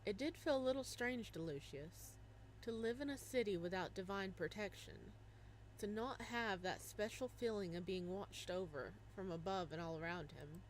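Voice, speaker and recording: A woman's voice: medium pitch, normal speed, slight background noise, low-quality recording. Woman reading a visual novel aloud. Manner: animated, laughing exclamations between narration.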